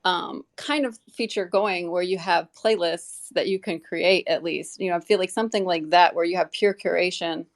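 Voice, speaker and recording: A woman's voice: fairly low pitch, steady tone, moderate pace, few pauses. A woman talking in a casual, earnest and rambling way in a live audio discussion.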